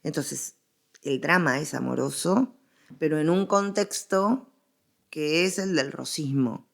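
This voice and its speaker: A woman's voice: low-pitched, takes it slowly, gravelly. A woman with an Argentine accent explaining things as an interviewed expert, emphatic and given to repetition. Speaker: interviewed history and literature expert.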